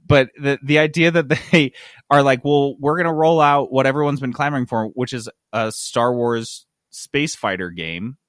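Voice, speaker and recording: A man's voice: mid-range voice, moderate pace, a bit bright, dry recording, clean studio sound. A man co-hosting a casual gaming podcast, talking in a joking, sarcastic way. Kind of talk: casual gaming news chat.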